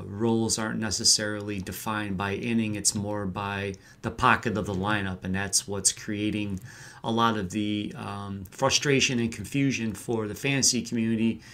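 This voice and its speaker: A man with a slightly low voice speaks as a guest analyst on a podcast. He talks through sports in a conversational, explanatory way. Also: a bit bright.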